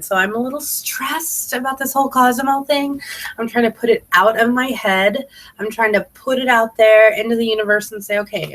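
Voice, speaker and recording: A woman's voice: fairly high pitch, fairly bright tone. Bubbly, slightly congested woman vlogger. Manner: bubbly, enthusiastic, rambling. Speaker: independent sales consultant hosting a team training video. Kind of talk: casual chatty video vlog.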